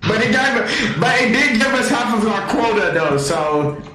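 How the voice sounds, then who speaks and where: High-voiced man in a very echoey room. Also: expressive, a little slow, pushed and bright. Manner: repeats words and phrases. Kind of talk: casual multiplayer gaming chat. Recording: roomy echo, faint music behind.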